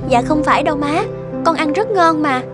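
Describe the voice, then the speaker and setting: A woman voice-acting polite, gentle young women in an animated moral tale. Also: high-pitched, moderate pace, over loud music, natural voice. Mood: polite, hesitant. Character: polite young women: gentle daughter-in-law, dutiful care worker.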